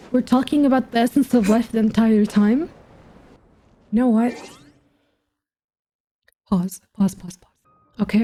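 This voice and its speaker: A woman streamer with a fairly high yet soft, mellow voice reacts to a game story. She thinks out loud casually, questioning what she sees and musing over it in informal, sometimes sweary language. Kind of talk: casual commentary, thinking aloud.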